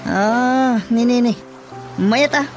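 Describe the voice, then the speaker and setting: A man's voice: very high-pitched, slow. An agreeable village watchman with an Ilocano accent. He chats along in a comic radio drama style, with some music behind his voice. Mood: agreeable, chatty. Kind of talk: Filipino radio drama comedy acting.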